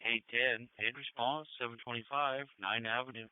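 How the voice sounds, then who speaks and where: Clear male fire dispatcher, tinny radio. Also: medium pitch, fairly even intonation, normal speed, no room sound. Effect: two-way radio. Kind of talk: clipped radio dispatch announcement.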